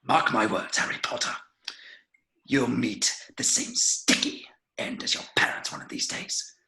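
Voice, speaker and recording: A man's voice: medium pitch, animated, unhurried, vocal fry, sharp tone, exaggerated voice. A man playing a cold, sneering, menacing aristocratic villain in a drama read-through. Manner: sneering, threatening.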